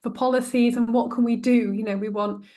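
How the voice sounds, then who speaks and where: A woman's voice: a little high, steady tone, a little fast, dark tone. A woman moderating a webinar, encouraging and conversational as she responds and relays questions from the audience.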